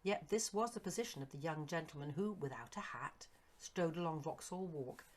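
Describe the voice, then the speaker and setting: A woman reading a Victorian horror story as scripted narration, in an expressive, literary storytelling manner. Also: deep voice, moderate pace, a little hiss or hum. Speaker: narrator, audiobook reader.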